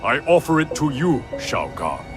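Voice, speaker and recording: A man's voice: fairly high pitch, very animated, moderate pace, over music. An actor playing a proud warrior emperor in a video game, stern, stately and formal in his speech. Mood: solemn, measured.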